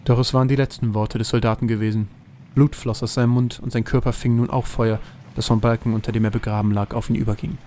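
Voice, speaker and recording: A man's voice: medium pitch, little pitch movement, fast, dark tone, a little hiss or hum. A man narrating a scripted fantasy audio drama as its storyteller. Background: music.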